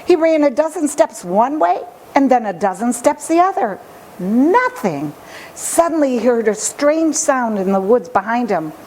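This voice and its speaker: Slow, high-voiced woman storyteller. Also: big pitch swings, darkish tone, slight background noise, poor audio. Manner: dramatic, deliberate phrasing. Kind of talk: expressive children's story reading.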